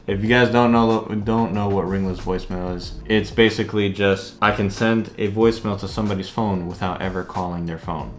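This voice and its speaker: A man hosting a casual vlog, explaining things informally and stressing his key points. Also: low-pitched. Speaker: vlog host, real estate investor. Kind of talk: casual vlog talk to camera.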